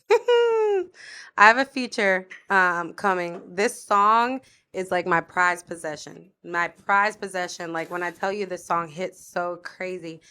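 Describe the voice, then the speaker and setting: A woman's voice: lowish voice, sharp tone, smooth. A woman singer, a guest on a podcast, talking in a casual, playful and chatty way. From Florida.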